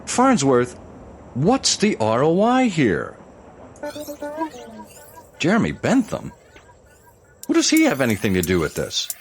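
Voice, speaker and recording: An actor playing a pompous, excitable ghost of an old-time explosives salesman, narrating an audio musical in grandiose, old-fashioned language. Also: medium pitch, takes it slowly, long pauses, slight background noise. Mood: baffled, indignant.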